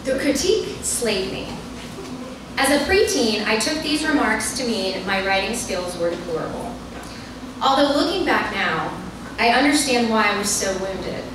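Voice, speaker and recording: A woman's voice: fairly high pitch, animated, measured pace, far from the mic. A woman author reads a humorous personal essay aloud to a live audience at a bookstore event, with wry, self-deprecating, performative storytelling. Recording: echoey, noisy room, recorded from afar.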